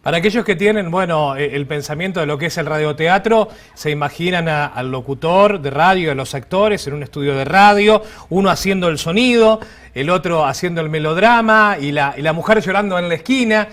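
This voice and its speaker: A man's voice: a little high, fairly quick, fairly bright tone. A man with an Argentine accent co-hosting an interview in a casual conversational way. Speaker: interview co-host.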